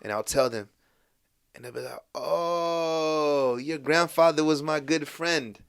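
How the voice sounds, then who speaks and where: A man's voice: on the high side, slow pace, clean tone. A young man raised in the United States, a podcast guest telling rambling personal stories full of fillers. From Morocco, raised in the United States. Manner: rambling storytelling, heavy fillers, lively voices for quoted people. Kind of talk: casual podcast conversation.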